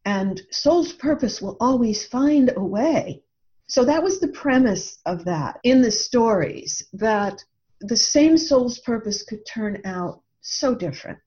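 A woman's voice: a little high, animated, slow pace, a little mellow. Earnest woman, slightly dull lo-fi audio. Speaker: author and podcast guest. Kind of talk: reflective explanatory talk about own ideas.

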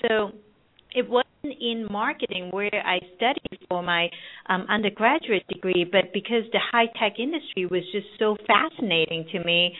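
A woman in her thirties from Hong Kong, a marketing director speaking as a guest by phone, relaxed and upbeat with frequent ums. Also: medium pitch, normal speed. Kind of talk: phone interview. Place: at home or office on the US West Coast. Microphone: phone handset. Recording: phone line, narrow band.

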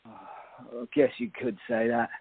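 A man's voice: very high-pitched, wildly swooping pitch, slow pace, gravelly, slightly rough, no room sound, exaggerated voice. A man playing a nervous young bachelor, stammering and sarcastic, in a comic radio play with tinny, phone-like sound. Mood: shaken, exasperated. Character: nervous young bachelor, exasperated, alarmed by his date.

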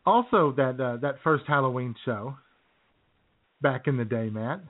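A man's voice: mid-range voice, animated, unhurried. A man co-hosting a radio show in casual, comedic chat, telling stories in a jokey, self-deprecating way. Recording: tinny phone-like sound.

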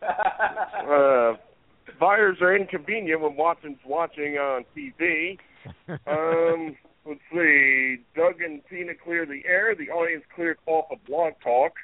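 A man's voice: fairly high pitch, expressive, slow, dry recording. A man on a phone call, reading off a string of dry joke recaps with frequent "uh" fillers during a casual call-in show. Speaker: co-host giving a recap. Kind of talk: casual call-in radio chat. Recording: tinny phone line.

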